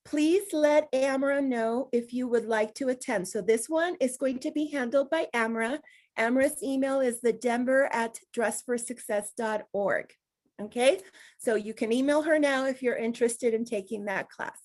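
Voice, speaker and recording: Woman host, high voice, cheerful and chatty, running a video-call meeting. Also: normal speed, a little mellow. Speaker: meeting host for a nonprofit women's group. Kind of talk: casual online meeting announcements.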